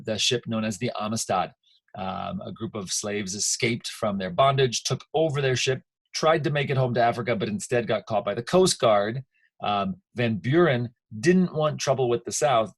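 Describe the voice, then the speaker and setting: An American man giving an informal history lecture as a teacher, conversational and emphatic, checking in with his listeners. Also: medium pitch.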